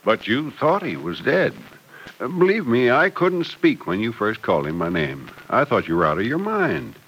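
A man's voice: mid-range voice, sing-song, normal speed, a bit bright, husky. An actor playing a kindly, fatherly confidant in a 1940s radio soap opera, thoughtful and soothing in manner. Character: kindly, fatherly male confidant, calm and reassuring. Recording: noisy old radio broadcast recording.

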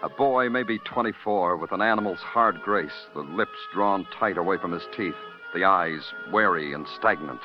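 Man as hard-boiled American radio cop, low and terse, dry narration over music, dull vintage recording. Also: moderate pace, close to an everyday voice. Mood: wry, brooding. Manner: deadpan, terse, musing. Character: wry, hard-boiled male police detective narrating his case. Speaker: detective and narrator. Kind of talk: 1950s radio noir acting.

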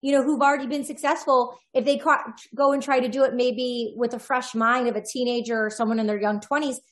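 A woman's voice: fairly high pitch, steady tone, fairly quick. A woman voiceover artist, a podcast guest, talking in a reflective, rambling way with many restarts. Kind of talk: casual conversational interview.

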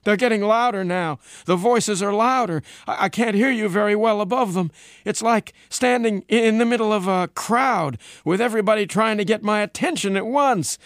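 A man's voice: sits high, moderate pace, no echo, clean studio sound, voice pushed up. A man voicing an unsettled young astronaut in an audiobook story, hesitant and haunted. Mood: overwhelmed, frantic. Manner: hesitant, soft-spoken.

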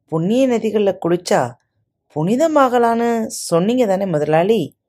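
A woman's voice: mid-range voice, lively intonation, slow, a little mellow, voice pushed up. A woman voicing a simple, humble male servant who answers his master politely, in a storybook reading.

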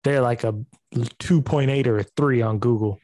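A man's voice: medium pitch, normal speed, a little mellow, dry recording. A man chatting casually on a podcast about rentals, laid-back and loose.

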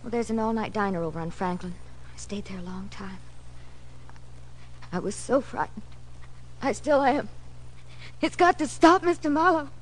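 A woman's voice: on the high side, moderate pace, pauses often, lightly acted, rough recording. An American actress playing a frightened, evasive young woman, earnest and anxious, in 1940s radio drama style. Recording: old radio recording, faint noise.

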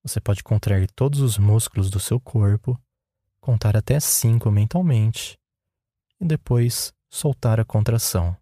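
A Brazilian man guides a meditation in a lowish, very mellow voice. His delivery is calm and unhurried, giving each instruction plainly. The sound is dry, with little room around it. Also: no echo. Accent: Brazilian Portuguese.

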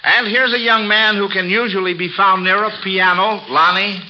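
Bright, high-voiced man hosting old radio quiz. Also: fairly even intonation, normal speed, slight background noise. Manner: folksy, avuncular, exclaiming. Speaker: quizmaster, host of a children's radio quiz. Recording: dull vintage radio broadcast.

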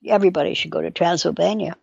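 A woman's voice: lowish voice, very fast, vocal fry, mellow, husky, no echo, dull audio. An older woman with a wry, digressive manner, casually explaining and reading aloud as a guest expert. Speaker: psychologist guest.

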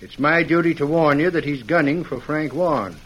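An actor playing a plain-spoken, dutiful sheriff in an old radio western, matter-of-fact in manner. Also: male voice, on the high side, a little fast, slightly rough. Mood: serious, warning. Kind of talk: 1940s-50s radio western acting. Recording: dull, noisy old radio recording.

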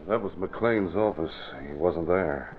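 Very deep-voiced police commissioner. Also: male voice, slow pace. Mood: flustered. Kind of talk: 1940s radio acting. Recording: narrow lo-fi old radio recording.

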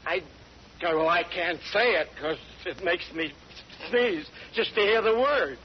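An actor voicing a sickly, timid, silly dwarf with a hesitant stammer in 1940s radio comedy style. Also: male voice, high voice, expressive, unhurried. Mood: flustered. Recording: dull noisy old radio broadcast, audience behind.

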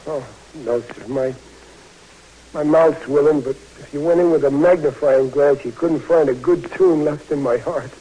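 An actor playing an anxious, heartsick young American soldier in an old radio drama, stammering, deferential and folksy, on a noisy old recording. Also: male voice, fairly high pitch, moderate pace, mellow, breathy edge. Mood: bashful, troubled.

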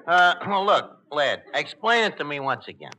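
An actor playing a brash, uneducated tavern manager with a New York accent and slangy, hesitant, bluffing delivery in 1940s radio comedy style. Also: male voice, on the high side, sing-song, moderate pace, sharp tone. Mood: baffled. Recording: very noisy old 1940s radio recording.

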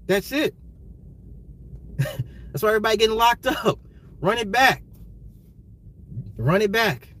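An American man ranting casually on a livestream, emphatic and slangy, repeating his phrases, his voice getting slightly hoarse. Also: a little high. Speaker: livestream host. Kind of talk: casual opinionated livestream rant.

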